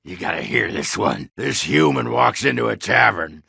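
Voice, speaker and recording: A man playing a crude, boozy, belligerent dwarf warrior in a video game, with a raspy, guttural voice and a coarse, blustering, swaggering manner. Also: lowish voice, big pitch swings, moderate pace, bright, forceful tone, no room sound, studio quality, full character voice. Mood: drunken amusement. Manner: coarse, blustering, swearing, slurred drunken swagger. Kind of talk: video game character acting.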